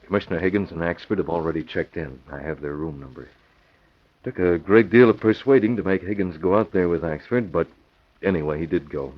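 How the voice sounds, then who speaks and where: A man's voice: low voice, brisk. A man playing a commanding, shrewd masked crime-fighter in clipped, decisive old-time radio acting. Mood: calm, decisive. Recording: dull, lo-fi old radio recording.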